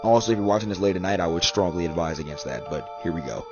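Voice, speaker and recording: A man hosting a video series in casual, halting commentary peppered with filler phrases. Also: low-pitched, animated. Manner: halting, repeats "you know". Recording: dull lo-fi clip with music. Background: background music.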